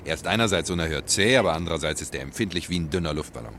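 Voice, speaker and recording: A man's voice: sits low, racing, fairly bright tone. An actor playing a flippant, morbidly teasing man in a German radio play, mocking and theatrical. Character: flippant, morbid male joker, teasingly gallant. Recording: faintly noisy radio play recording.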